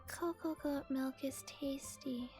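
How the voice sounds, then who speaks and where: A woman voicing a small, deadpan undead girl in a video game, with halting delivery. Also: high voice, over music. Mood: dreamy, flat.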